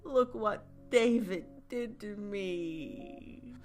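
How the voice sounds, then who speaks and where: A woman's voice: mid-range voice, lively intonation, darkish tone, faint music behind. A woman playing a vengeful aunt turned tree monster in a horror story, whining and wailing in a nasal screech with pulpy words. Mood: aggrieved wail. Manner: wailing, drawn-out accusations.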